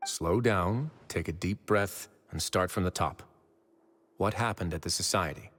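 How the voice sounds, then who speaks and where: A man voicing a calm, authoritative duke in a video game, speaking in a measured, commanding way. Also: sits low. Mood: calm, steady. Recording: long reverberant hall sound.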